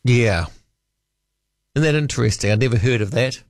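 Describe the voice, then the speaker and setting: Dry-voiced NZ talkback host. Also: male voice, mid-range voice, normal speed, dry recording. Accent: New Zealand. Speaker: late-night talkback radio host. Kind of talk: casual talkback radio chat. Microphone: studio broadcast mic.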